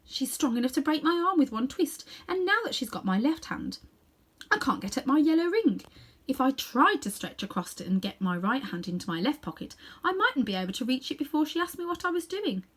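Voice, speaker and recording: A woman's voice: high voice, sing-song, a little fast, a bit bright, voice pushed up. A woman voicing a sulky, wary but plucky young girl in a storybook. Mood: worried sulky.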